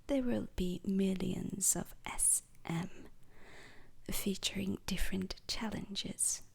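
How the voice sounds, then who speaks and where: Slow whispering woman as a space academy instructor. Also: mid-range voice, fairly even intonation, heavily acted. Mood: measured, solemn. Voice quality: breathy whisper. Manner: slow, deliberate, formal, instructional. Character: scarred retired space-mission veteran lecturing recruits, formal and grave. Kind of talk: whispered ASMR roleplay lecture.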